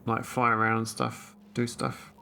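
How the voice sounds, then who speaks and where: A man talks through his game in a loose, wandering way, trailing off into fillers as he works things out. His voice is gravelly and bright, and a faint hum sits behind it. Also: medium pitch, monotone, unhurried. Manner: thinking aloud, rambling with fillers. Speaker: gameplay streamer. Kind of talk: casual let's-play commentary.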